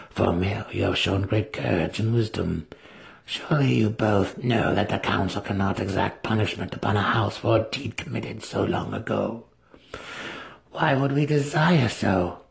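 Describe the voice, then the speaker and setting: A man voicing an imperious, shrewd female dark elf matron with a formal, commanding manner in a fantasy audiobook. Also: on the low side, creaky. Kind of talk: dramatic fantasy audiobook reading.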